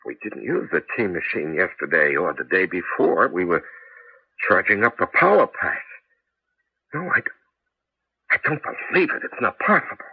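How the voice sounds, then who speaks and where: Excitable cabbie inventor, lively pitch swings. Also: male voice, moderate pace, long pauses, husky, no room sound, heavily acted. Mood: earnest, intent. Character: excitable male taxi driver and amateur inventor. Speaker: radio drama actor. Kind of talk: 1980s radio drama acting. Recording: dull, noisy old radio broadcast.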